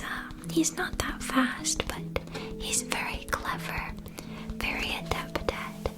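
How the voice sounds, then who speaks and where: A woman playing a gentle, reassuring witch shopkeeper in an ASMR roleplay, whispering in a soft, soothing way. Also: mid-range voice, close to an everyday voice. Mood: fond, chatty.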